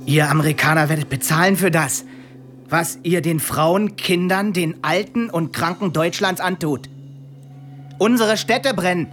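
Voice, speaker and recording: Bitter young Nazi officer, higher-pitched. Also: male voice, unhurried, fairly bright tone. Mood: bitter, accusing. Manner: interrogating, biting sarcasm. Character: suspicious, bitter young Nazi intelligence officer Erik, wounded former friend. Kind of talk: radio drama acting. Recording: studio radio play with faint music.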